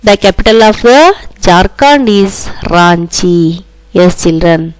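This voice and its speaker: A woman's voice: fairly low pitch, lively intonation, slow, a little hiss or hum. A woman with a South Indian accent, a native Kannada speaker, teaching young schoolchildren in a repetitive, instructive way and often addressing them directly. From Karnataka, India. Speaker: primary school social studies teacher. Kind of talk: school lesson for children.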